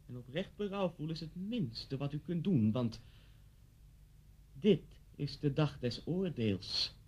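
A man's voice: fairly high pitch, mellow, slightly rough, heavily acted. An actor playing a vengeful, deranged middle-aged man in a radio play, mocking and theatrical as he threatens someone. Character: vengeful, deranged man taunting his old schoolteacher at gunpoint.

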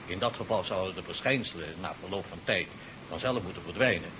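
An actor playing a measured, deferential ship's doctor in a 1970s science fiction radio play. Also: male voice, sits low, close to an everyday voice. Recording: dull, noisy 1970s radio drama recording.

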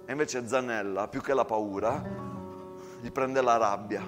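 A man's voice: mid-range voice, measured pace, over music. A man, narrating a theatrical monologue as an actor.

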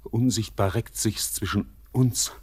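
A haunted young man in a verse radio play. His voice sits low and is husky and breathy. He speaks haltingly, with brooding, theatrical intensity. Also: steady tone, darkish tone. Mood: secretive, choked. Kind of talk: poetic German radio drama acting.

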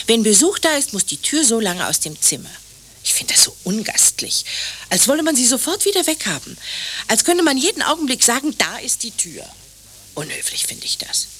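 Coy genteel wife, bright husky female voice, lively melody, absurd radio drama. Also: on the high side, moderate pace, full character voice. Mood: airy, matter-of-fact. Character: coy, chatty hostess, outwardly polite, oddly unfeeling wife. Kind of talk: German radio play acting, absurd satire.

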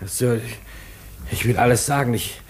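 Male actor as nervous butler, deferential, halting, husky voice, guilty and servile, vintage radio drama. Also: mid-range voice, natural voice. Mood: frightened, pleading. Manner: halting, servile, stammering under pressure. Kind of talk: 1950s German radio play acting.

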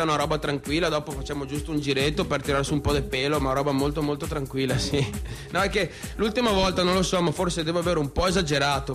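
Male actor doing a young guy from provincial northeast italy accent, cocky crude stage monologue, highish voice, music behind. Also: lightly acted. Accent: northeastern Italian. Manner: swaggering, slangy, repeats phrases for emphasis. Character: cocky, crude young provincial Italian man, volatile storyteller. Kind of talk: theatrical monologue, colloquial storytelling.

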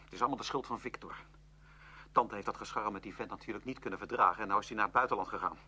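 A man's voice: on the low side, rapid-fire, sharp tone, husky, heavily acted. A man acting in a radio play as a grief-stricken young student, bitter and accusing. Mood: anguished. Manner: anguished, accusing. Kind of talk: radio drama dialogue.

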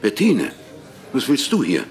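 An actor playing a weary, distrustful old poet in a literary radio drama, proud, brusque and guarded. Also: male voice, mid-range voice, wildly swooping pitch, a little slow, darkish tone. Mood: wary, wounded. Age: older.

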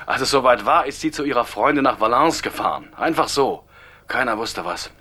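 An actor playing a gruff, talkative middle-aged husband in a German radio crime drama, wary and blunt, with a husky voice. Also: male voice, mid-range voice, expressive, fairly quick, bright, forceful tone. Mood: grumbling, candid.

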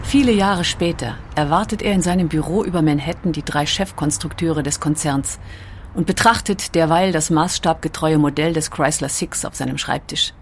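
A woman narrating a documentary in a calm, even storytelling manner. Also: low-pitched, normal speed, a little hiss or hum.

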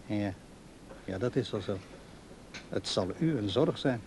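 An actor playing a lonely, brooding old widowed father in a radio play, with a slow, halting, musing way of talking. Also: fairly low pitch, lively intonation, darkish tone, husky, heavily acted. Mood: tentative. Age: older.

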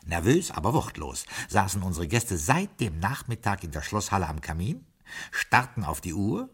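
A man playing a scheming butler who narrates his own plot in an old radio play, with a husky voice and a smooth, sly manner. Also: sits low, very animated, moderate pace, a bit bright, exaggerated voice. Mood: wry. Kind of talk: 1950s radio drama acting.

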